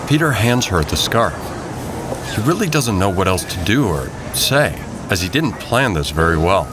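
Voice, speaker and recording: A man's voice: low voice, big pitch swings, normal speed, noisy background. A man reading scripted, dramatic narration for an audio drama. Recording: loud music bed behind narration.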